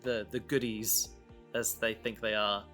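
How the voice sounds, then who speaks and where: A man in a casual podcast discussion, explaining his creative work in a halting way, thinking aloud. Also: fairly low pitch, level tone, a bit bright, faint music behind. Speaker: audio drama creator.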